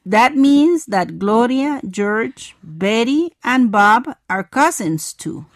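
A woman's voice: fairly high pitch, lively intonation, slow, no room sound, studio quality. A woman, playing the curious student in a scripted English lesson. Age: 40s. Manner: curious, asking questions. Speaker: co-host acting as student.

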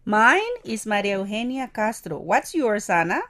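A woman's voice: medium pitch, animated, normal speed, a bit bright, dry recording, studio quality, lightly acted. Teen schoolgirl, acted by a woman, chirpy textbook dialogue. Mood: cheerful. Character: cheerful teenage schoolgirl, sixteen.

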